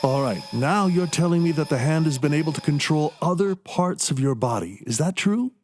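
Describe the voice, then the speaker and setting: A man playing a coldly scheming, gloating doctor in a radio play, speaking with deliberate, condescending menace. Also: a little high, lively intonation, darkish tone, slight background noise, close to an everyday voice. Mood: controlled, commanding.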